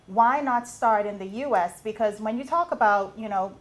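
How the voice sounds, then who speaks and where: A woman from the Bahamas speaking as a judge in a pitch competition, giving feedback and questions in a deliberate, emphatic way.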